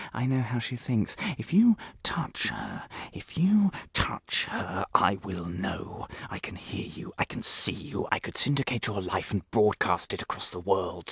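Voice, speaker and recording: A man playing a menacing state interrogator in a radio play, silkily polite, gloating and possessive, teasing with drawn-out pauses and questioning hums. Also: lowish voice, gravelly, fairly bright tone, husky, no room sound, clean studio sound, heavily acted. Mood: possessive menace.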